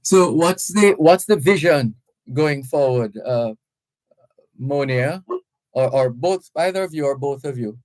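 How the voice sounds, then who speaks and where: A man hosting a live radio interview, speaking in a measured, deliberate way with halting phrasing. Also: mid-range voice, animated, a little mellow, dry recording, poor audio. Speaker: radio host interviewing guests.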